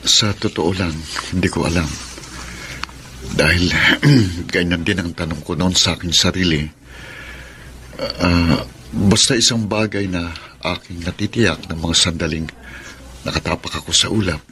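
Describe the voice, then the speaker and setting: An actor with a Filipino accent playing an earnest, reflective storyteller in a radio drama. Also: male voice, low-pitched, a little slow, long pauses, pushed and bright, a little hiss or hum.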